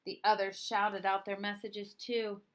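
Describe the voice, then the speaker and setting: A woman reading a children's story aloud in an expressive narrating style. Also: mid-range voice, measured pace. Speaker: storybook reader.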